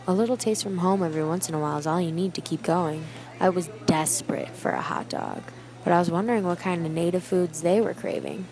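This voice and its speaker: A woman's voice: lowish voice, a little fast, a little mellow, poor audio. A young American woman narrating a documentary in a scripted, wistful storytelling way. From United States. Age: young adult. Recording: narration over music bed. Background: music.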